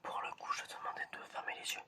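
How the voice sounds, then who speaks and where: Whispering ASMR performer, hesitant and soft. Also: very fast. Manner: hesitant, murmuring, repeats short phrases. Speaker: ASMR performer playing an examiner.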